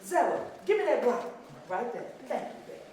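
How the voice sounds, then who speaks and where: A woman's voice: fairly high pitch, sing-song, fairly quick, background noise. A woman playing a loving, patient mother who coaxes her little girl with affectionate exclamations. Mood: coaxing. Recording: echoey hall, mic far off.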